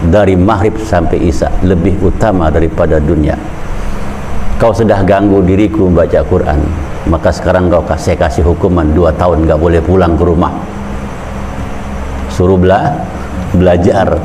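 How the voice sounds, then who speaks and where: Low-voiced man preaching casually. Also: fairly even intonation, moderate pace, long pauses, background noise. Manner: conversational storytelling preaching, pitch rising when urging. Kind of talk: informal Islamic sermon.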